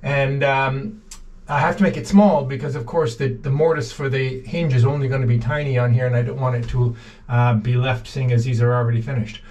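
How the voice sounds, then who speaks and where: A middle-aged man casually talking through his hands-on work to the camera, with halting pauses as he goes. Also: mid-range voice, steady tone, darkish tone, muffled recording. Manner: talks haltingly while working, with pauses. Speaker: vlog host, boat restorer. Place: inside a wooden boat in a shed and at its workbench. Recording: noisy workshop recording with clicks.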